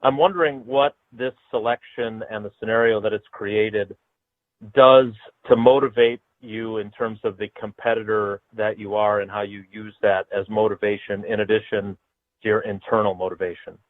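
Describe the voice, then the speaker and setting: Low, dry reporter on phone. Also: male voice, steady tone, normal speed, no room sound. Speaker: sports reporter. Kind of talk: prepared press question. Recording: thin phone-like call, dry.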